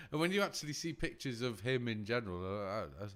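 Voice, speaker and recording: A man with a boomy voice, chatting casually and profanely on a live stream, laughing often, close to his desk mic. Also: very animated, fairly quick. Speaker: livestreamer reacting to videos. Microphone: desk mic close to face.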